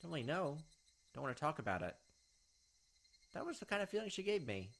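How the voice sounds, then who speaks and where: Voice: medium pitch, very animated, fairly quick, gravelly. A let's play host reading a visual novel aloud and dropping in offhand, casual commentary. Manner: reads aloud and drops in offhand asides. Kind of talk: game narration with casual commentary.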